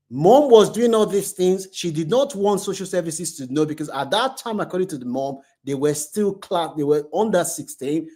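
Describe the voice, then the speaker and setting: Guy host telling a story off the cuff, voice a bit high, emphatic, repeats himself for effect. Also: darkish tone. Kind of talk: informal spoken storytelling with commentary.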